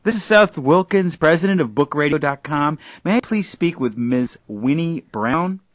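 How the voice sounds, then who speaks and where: An actor playing a pushy, sardonic boss of a struggling internet radio company in an audio drama. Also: male voice, on the high side, expressive, normal speed, close to an everyday voice. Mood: businesslike.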